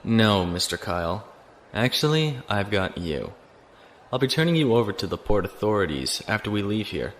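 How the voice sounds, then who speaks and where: An actor in a science fiction audio drama playing a composed noble lieutenant with a polite, dry, formal manner. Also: male voice, on the low side, very animated, normal speed. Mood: cool, firm. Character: composed, courteous noble space-navy lieutenant on the run. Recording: noisy ambient background.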